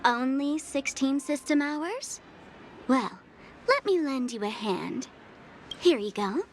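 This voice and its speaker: A woman's voice: high-pitched, expressive, takes it slowly, a little hiss or hum, heavily acted. An actress playing a playful, dangerous female trickster in a video game, coy and taunting in a sing-song way. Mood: mocking, playful. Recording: music under the voice.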